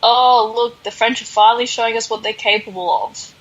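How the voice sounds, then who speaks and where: A woman's voice: fairly high pitch, level tone, brisk, fairly bright tone, muffled recording. A woman voicing an annoying, bombastic male tournament announcer in a mocking story retelling. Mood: impressed. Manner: bombastic, rousing.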